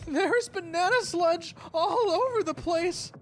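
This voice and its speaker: Woman doing anxious whiny sailor guy in an audio drama, high voice, drags words out when frantic. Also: slow pace, a little hiss or hum. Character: panicky, anxious young sailor man, fearful of authority.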